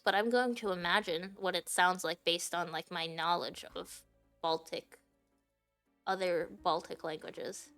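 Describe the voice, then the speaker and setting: A woman's voice: on the low side, fairly bright tone. A woman from Japan whose first language is Japanese, chatting casually on a livestream and rambling with many fillers and restarts. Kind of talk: casual stream chat.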